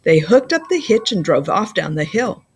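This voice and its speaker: Woman reading a picture book, very lively pitch. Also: slight background noise. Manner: deliberate storytelling with playful emphasis. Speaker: school librarian reading to children. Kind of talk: expressive children's picture-book read-aloud.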